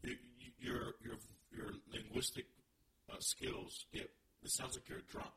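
A man's voice: measured pace, slight background noise. A man co-hosting a comedy podcast, chatting casually in a rambling way with many restarts. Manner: rambling, restarts a lot, animated. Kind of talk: casual banter.

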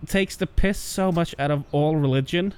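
Young man, podcast co-host, casual crude banter, fairly high voice. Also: moderate pace, darkish tone, smooth. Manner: crude, joking, laughs often. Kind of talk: casual chat.

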